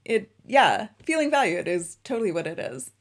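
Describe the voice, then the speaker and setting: A woman hosting a casual interview, relaxed and agreeable, talking in a loose, rambling way. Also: mid-range voice, moderate pace. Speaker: interview host.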